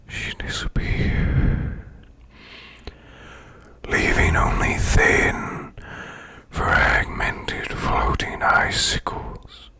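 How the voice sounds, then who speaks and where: Whispering, gravelly, haughty demon boss. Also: male voice, extremely low pitch, heavily acted. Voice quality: breathy, gravelly demonic growl. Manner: slow, pompous, formal, deliberate. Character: haughty demon CEO, menacing yet soothing male boss. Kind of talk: ASMR roleplay.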